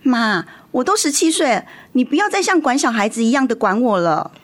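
一位女演员在广播剧中饰演十七岁、爱赌气又叛逆的姐姐。. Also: female voice, on the high side, big pitch swings, a little fast. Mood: annoyed, defensive. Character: sulky, defiant seventeen-year-old girl, elder sister. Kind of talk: radio drama acting.

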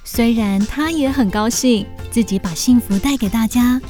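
Higher-pitched woman narrating a children's story. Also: normal speed, soft background music. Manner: expressive, clearly scripted storytelling.

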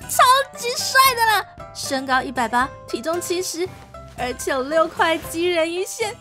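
A woman's voice: extremely high pitch, expressive, normal speed, over music. A woman playing a gushing, starstruck young daughter with a Taiwanese accent in a comedic audio drama. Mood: dreamy, gushing.

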